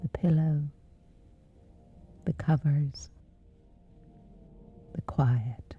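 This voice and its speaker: A woman with a whispery voice, slowly and gently guiding a sleep meditation. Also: low voice, steady tone, slightly rough, phone-quality sound, faint music behind. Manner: slow, soothing, unhurried. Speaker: meditation guide.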